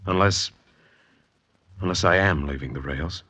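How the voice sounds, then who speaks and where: A man's voice: deep voice, a little slow, pushed and bright, slightly rough, heavily acted. An actor playing a troubled, earnest artist in his thirties in a 1940s radio drama. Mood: troubled, insistent. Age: 30s. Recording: dull vintage radio recording with noise.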